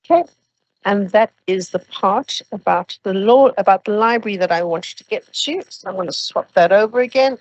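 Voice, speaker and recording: A woman explaining academic procedures to new students at an orientation, steady and practical with some fillers. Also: mid-range voice, normal speed, highs cut off. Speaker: orientation presenter.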